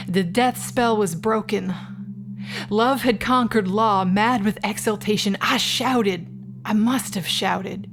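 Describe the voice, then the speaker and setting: A woman playing the sorrowful ghost of a murdered wife and mother, speaking in a solemn, measured, formal literary monologue. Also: mid-range voice, a little hiss or hum. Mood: tense longing, then exultant. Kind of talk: literary gothic monologue in an audio drama.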